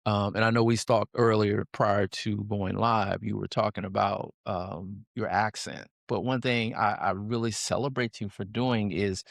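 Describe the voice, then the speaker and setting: Gravelly low-voiced male host. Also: fairly even intonation, unhurried, hardly any pauses, no room sound, clean studio sound. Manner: upbeat, encouraging, laughs often. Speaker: podcast host. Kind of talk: interview hosting.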